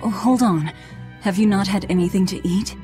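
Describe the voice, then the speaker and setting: A woman's voice: mid-range voice, normal speed, mellow, soft background music, close to an everyday voice. A woman playing a blunt, driven young female fighter in a video game, curt and stern. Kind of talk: English game voice acting.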